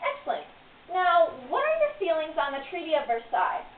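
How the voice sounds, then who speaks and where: A woman's voice: very high voice, wildly swooping pitch, fairly quick, full character voice. A teenage girl playing a chirpy talk show host in a school skit. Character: female talk show host, bubbly and scolding. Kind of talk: amateur school skit acting. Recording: tinny, echoey, distant camera audio.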